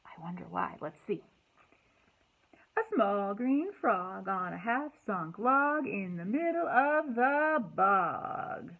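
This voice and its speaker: A woman hosting a children's storytime, speaking in an expressive, sing-song way to young listeners, in slightly dull, lo-fi sound. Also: medium pitch, takes it slowly. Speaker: library storytime host. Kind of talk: children's storytime, read-aloud and singalong.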